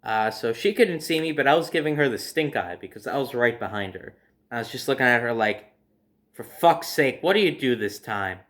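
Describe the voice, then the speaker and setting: A man's voice: mid-range voice, expressive, a little fast, a bit bright. A man telling personal stories casually to his viewers, in a conversational way, acting out his reactions as he goes. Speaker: storyteller hosting own video series.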